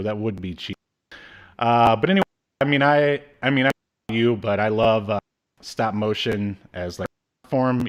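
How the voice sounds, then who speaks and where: A man in his thirties co-hosting a podcast from home, chatting casually and hesitantly. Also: mid-range voice. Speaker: podcast co-host. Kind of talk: casual chat reviewing old media. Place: indoor room at home, video call.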